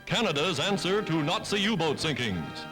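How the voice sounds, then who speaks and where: High-pitched male 1940s newsreel narrator. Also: sing-song, moderate pace. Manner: declamatory, dramatic scripted delivery. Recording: vintage 1940s newsreel soundtrack, loud music. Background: loud music.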